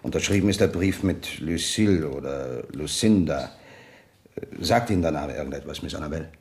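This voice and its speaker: An actor playing a polite, matter-of-fact police sergeant in a radio crime drama, measured and official. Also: male voice, extremely low pitch, expressive, a little mellow. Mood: inquiring.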